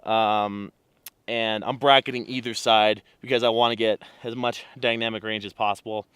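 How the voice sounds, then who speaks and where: A man photographing a calm mountain lake at dawn, explaining his camera settings in a relaxed way with many fillers while sounding congested from a cold. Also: fairly low pitch, moderate pace, smooth, no room sound. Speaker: landscape photographer. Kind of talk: casual on-location vlog.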